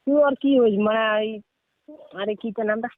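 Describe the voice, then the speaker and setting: A young man with a North Bengal accent argues casually on a call. His pitch is strikingly high and his words come quickly. The line is thin and tinny. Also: fairly even intonation, background noise. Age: teens to young adult. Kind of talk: casual, argumentative phone chat. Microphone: phone call. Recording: tinny phone-line sound, dry.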